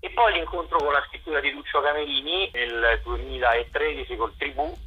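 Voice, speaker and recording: A man giving a radio interview as a theatre director and actor, articulate and discursive, pausing to search for words. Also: medium pitch, steady tone, breathy edge, muffled recording. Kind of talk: radio interview, explaining and promoting work.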